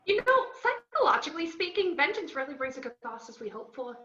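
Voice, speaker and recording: A woman playing a brash, playful, unhinged young antiheroine with animated, theatrical delivery in an amateur film script table reading on a video call. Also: sits high, fast talker, full character voice. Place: home, on a group video call. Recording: roomy, dull video-call audio.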